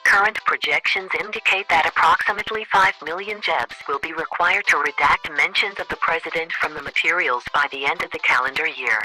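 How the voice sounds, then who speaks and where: A man playing an officious government chief of staff, reading formal, deadpan orders as a voicemail in a satirical radio comedy. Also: fairly high pitch, fairly quick, hardly any pauses, soft background music, low-quality recording.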